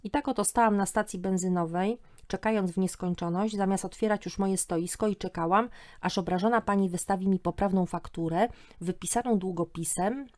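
A woman's voice: medium pitch, a little fast, darkish tone. A woman hosting a story podcast, reading humorous first-person narration in a wry, sardonic manner.

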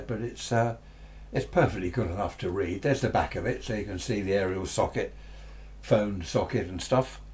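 Older English man, low rambling voice. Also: darkish tone. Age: 60s or older. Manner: rambling, chatty, muses aloud to himself. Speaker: radio hobbyist presenter. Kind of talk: casual unscripted product review. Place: small cluttered indoor radio workshop. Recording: noticeable background noise with hum.

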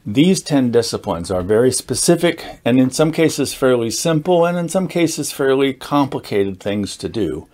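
A man in his sixties giving an informal explanatory talk to camera as a group host, measured and halting, with deliberate emphasis. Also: medium pitch, big pitch swings, darkish tone. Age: 60s. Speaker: meetup founder and host, teacher. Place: indoors, small studio with dark curtain backdrop.

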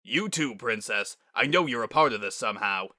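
A man acts out a middle-aged Pegasus dad from a storybook, stern yet deeply loving. His voice is bright and forceful, halting when feeling takes over, and recorded close and dry. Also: lively intonation, dry recording. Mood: angry scolding.

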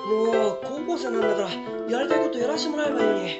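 A woman playing a talkative, dreamy and easily exasperated high school boy in an improvised comedic radio drama. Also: very fast, natural voice. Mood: musing, dreamy. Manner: animated, complaining. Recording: dull sound, loud guitar music behind.

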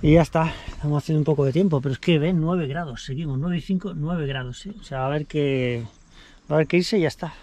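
A man's voice: on the high side, brisk, dark tone. A man, casually showing his kit outdoors by his car, chatty and repeating himself as he talks to an action camera held at arm's length. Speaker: vlogger. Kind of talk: casual show-and-tell vlog.

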